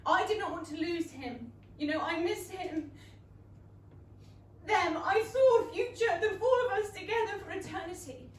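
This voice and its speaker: An actress playing a spirited, principled young Regency gentlewoman, speaking earnestly and articulately in a staged theatre reading. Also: very high voice, moderate pace. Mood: wistful, tender. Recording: roomy theatre, distant mic, faint noise.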